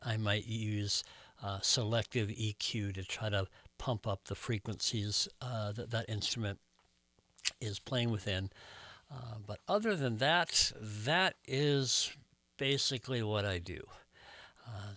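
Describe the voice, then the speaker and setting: A man giving a casual step-by-step tutorial, explaining things in a measured way with pauses. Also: fairly low pitch, fairly bright tone, no room sound. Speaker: host of a record collecting tutorial channel.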